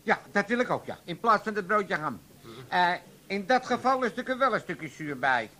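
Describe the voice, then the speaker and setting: A man's voice: sits high, big pitch swings, normal speed, bright, forceful tone, exaggerated voice. An older man playing a querulous, dithering old Amsterdam father in a comic radio play. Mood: insistent. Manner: dithering, plaintive. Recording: 1980s radio play with faint noise.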